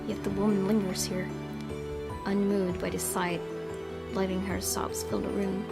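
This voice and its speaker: Young woman streamer reading game text with casual asides, mid-pitched, loud game music under her. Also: gravelly. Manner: reads narration with chatty asides. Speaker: gaming streamer. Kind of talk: reading a visual novel aloud.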